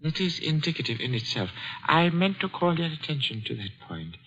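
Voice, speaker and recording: An actor playing a wealthy, formal, commanding older uncle in a 1940s radio drama, speaking in measured, precise phrases. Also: male voice, on the high side, sing-song, a bit bright. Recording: dull lo-fi 1940s radio recording.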